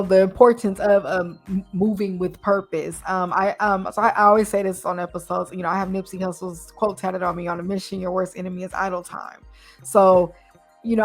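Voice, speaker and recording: Brief: woman host, warm-toned encouragement, reflective casual chat, faint music under. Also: medium pitch. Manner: reflective and encouraging, frequent affirming "mm-hmm". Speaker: podcast host. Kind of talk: casual podcast conversation.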